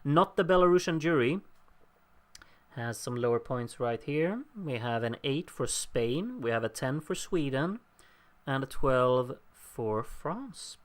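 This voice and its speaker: A man hosts a live watchalong, talking casually through a scoreboard as votes come in. He reads out viewers' names with wry asides and laughs at his own stumbles. His voice sits at a middling pitch and sounds a little worn. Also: animated, takes it slowly. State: losing his voice. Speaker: livestream host.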